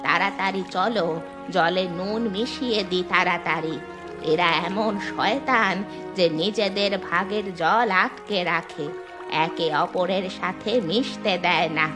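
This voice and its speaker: A woman's voice: medium pitch, measured pace, close to an everyday voice. A woman voicing a bossy, scheming village wife. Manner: impatient, scolding. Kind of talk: dubbed cartoon dialogue. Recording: music in the background.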